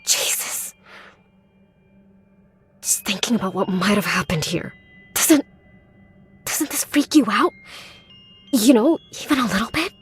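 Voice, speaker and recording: A woman's voice: mid-range voice, animated, measured pace, pauses often, breathy edge, faint music behind. A woman playing a blunt, skeptical but protective young woman in a horror visual novel, with a frank, casual and sardonic manner. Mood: concerned, firm.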